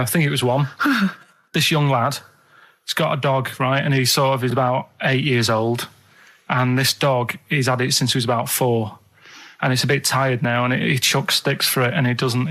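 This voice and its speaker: A man's voice: mid-range voice, fairly bright tone. Male radio co-host, plain-spoken and rambling, casual anecdote style.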